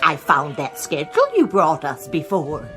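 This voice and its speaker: An actress playing a kindly, motherly older woman in a radio drama, speaking gently and solicitously. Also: sits low, animated, normal speed, soft background music. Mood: kindly, concerned.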